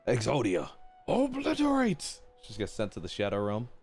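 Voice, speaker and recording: A man's voice: mid-range voice, huge pitch swings, moderate pace, a little mellow. A man streaming a game, giving casual, slangy reaction commentary in wry, offhand asides.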